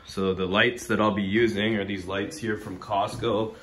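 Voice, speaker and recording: Man, very low voice, rambling casual DIY walkthrough, handheld phone, faint background noise. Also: little pitch movement, moderate pace, fairly bright tone. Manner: rambling, many fillers and restarts. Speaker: DIY video maker. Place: inside an empty metal shipping container. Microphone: phone camera held in hand.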